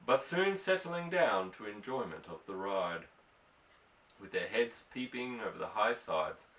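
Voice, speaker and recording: A man's voice: lowish voice, fairly bright tone. A man reading a story aloud as an audiobook narrator, in a scripted narration style. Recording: echoey room, distant microphone.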